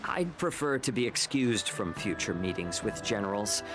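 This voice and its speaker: A courteous, wry male military healer from a video game. His delivery is eloquent and theatrical, with lively swings of pitch and a sly edge. Faint game music plays behind him. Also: normal speed. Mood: wry complaint. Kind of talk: scripted game voice acting.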